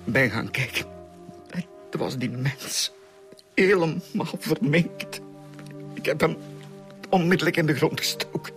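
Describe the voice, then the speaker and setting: An actor with a Flemish accent playing a flustered old murder suspect who stammers, in a radio drama. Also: male voice, fairly high pitch, animated, a little slow, pauses often, a bit bright, faint music behind. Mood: shaken. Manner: stammering, repeating words.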